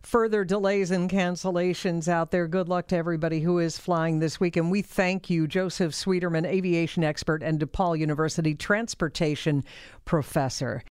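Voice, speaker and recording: Clear-voiced woman hosting radio news. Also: lowish voice, steady tone, moderate pace, a little mellow, no room sound, studio quality. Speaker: radio news host, interviewer. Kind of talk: broadcast news interview.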